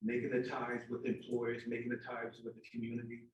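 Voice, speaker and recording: An echoey, slightly distant recording of a man in his sixties speaking at a forum. His accent is Northern US with a New England tinge, and his pitch is medium. He talks in a candid, plain-spoken way, like an official addressing an audience. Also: completely flat delivery, brisk. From Charleston, South Carolina. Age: 60s. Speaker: corrections official, guest speaker. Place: indoor room at a public forum. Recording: echoey room, distant microphone.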